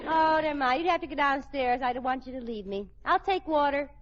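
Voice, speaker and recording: An actress playing a playful, scheming sitcom wife in an old radio comedy, with a coy, wheedling delivery. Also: female voice, high voice, a little fast, crowd noise, noisy background, close to an everyday voice. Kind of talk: 1940s-50s radio sitcom acting. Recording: dull old radio recording.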